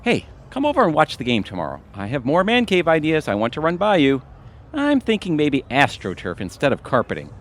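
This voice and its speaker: Very animated, goofy middle-aged husband, acted by a man. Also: on the high side, brisk. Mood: wry, teasing. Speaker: voice actor playing the lead. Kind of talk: community audio theatre comedy acting.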